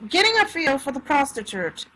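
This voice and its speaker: A woman's voice: high-pitched, sing-song, brisk, pushed and bright, rough recording. A woman casually reading a tabletop game rulebook aloud, stumbling here and there over the text. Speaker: reader of a tabletop game supplement. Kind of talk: casual read-aloud with joking asides. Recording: clear background noise.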